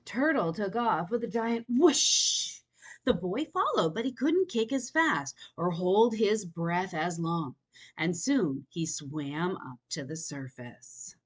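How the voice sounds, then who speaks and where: Animated, unhurried woman reading to children. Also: lowish voice, husky. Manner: expressive, inviting, wondering aloud. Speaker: children's storytime reader. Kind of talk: read-aloud picture book with chatty asides to young listeners.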